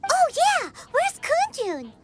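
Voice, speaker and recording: A woman voicing a small, excitable, childlike fairy companion in a video game, squeaky and chatty. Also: slow, exaggerated voice. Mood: excited. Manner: refers to self in third person, exclaiming. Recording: loud game music behind voice.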